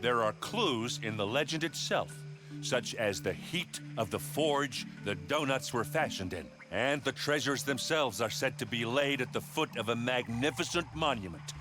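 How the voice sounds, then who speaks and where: A man's voice: medium pitch, animated, normal speed, natural voice. An actor voicing a good-natured, boastful, food-loving male warrior in a comedic video game. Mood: eager storytelling. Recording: music behind the voice.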